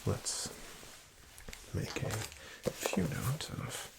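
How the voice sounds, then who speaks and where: A man doing a soft-spoken ASMR doctor roleplay, talking slowly in a gentle half-whisper with hesitant fillers. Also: very noisy.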